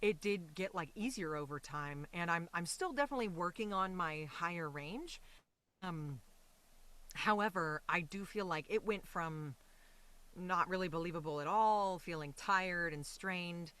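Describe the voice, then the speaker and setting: A woman speaking as a voice actor and interview guest, chatting casually in an animated, rambling, reflective way. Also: on the low side, measured pace, clear, resonant tone.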